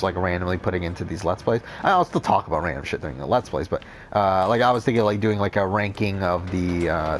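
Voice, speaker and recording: A young man with a cold, rambling through casual gameplay commentary, talking off the top of his head with a deep, unclear voice and frequent swearing. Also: slight background noise. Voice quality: deep, not clear. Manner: rambling, unscripted, says whatever comes to mind, swears often. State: has a cold. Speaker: Let's Play commentator.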